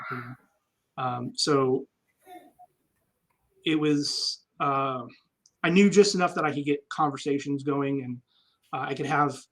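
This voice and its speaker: A man's voice: mid-range voice, fairly bright tone. A man, an army veteran guest, telling stories candidly and reflectively in a casual interview, with frequent ums.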